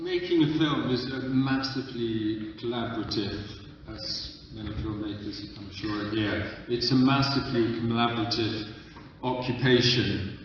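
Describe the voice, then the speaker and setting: A man's voice: medium pitch, fairly even intonation, normal speed, slight background noise. A man who grew up in Uganda, a filmmaker answering questions on stage, earnest and explaining at length. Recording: echoey hall from far off, dull lo-fi.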